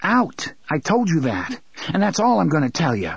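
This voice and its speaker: A man's voice: fairly high pitch, wildly swooping pitch, normal speed, gravelly, breathy edge, no echo, lo-fi sound, pitched above the performer's own voice, heavily acted. A man voicing an angry, defiant teenage boy who talks back sharply.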